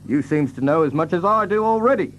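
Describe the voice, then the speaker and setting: An actor playing a plain-spoken London cab driver with a working-class accent, hesitant and colloquial, in an audio drama. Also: male voice, a little high, animated, fast talker, a bit bright, faint music behind. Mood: chummy, obliging.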